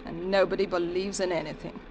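A woman's voice: on the low side, flat delivery, fairly quick, darkish tone, muffled recording, natural voice. An actress playing a cynical, bored young society woman in an old radio drama. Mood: flippant, bored. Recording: noisy vintage radio drama recording.

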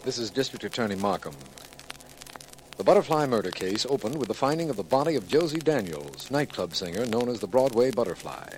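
A man's voice: mid-range voice, big pitch swings, fairly quick, natural voice. An American man acting an earnest, formal middle-aged district attorney in a noisy vintage 1940s radio drama. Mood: formal, grave.

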